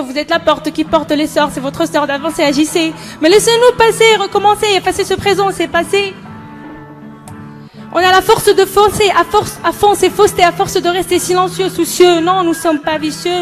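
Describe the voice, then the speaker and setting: A young woman performs spoken-word poetry in an impassioned, emphatic, rhythmic delivery. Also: very high voice. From Morocco. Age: young adult. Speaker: student poet. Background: background music.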